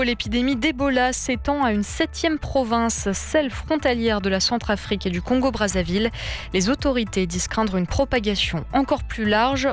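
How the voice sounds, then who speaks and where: A woman anchoring a radio news bulletin, reading in a measured, crisp way. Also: fairly high pitch.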